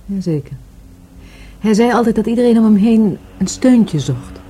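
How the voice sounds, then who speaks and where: An actress in a Dutch radio drama playing a wary woman questioned about her dead friend, with a husky voice. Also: mid-range voice, animated, normal speed, dark tone. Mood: reminiscing.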